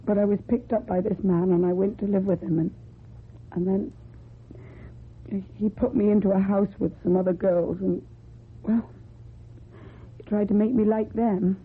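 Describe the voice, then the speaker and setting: A timid, guarded young woman in a radio drama. Her voice is very husky and breathy, subdued and reticent. It sounds narrow and tinny, like an old broadcast down a phone line. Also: mid-range voice, steady tone, unhurried, pauses often. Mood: confessing, uneasy.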